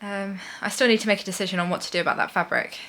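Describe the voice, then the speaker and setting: Woman, 30s, casual vlogger, bright sharp tone, rambling chat. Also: mid-range voice, steady tone, rapid-fire. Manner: chatty, rambling, upbeat. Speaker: knitting vlogger. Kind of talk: casual chatty vlog.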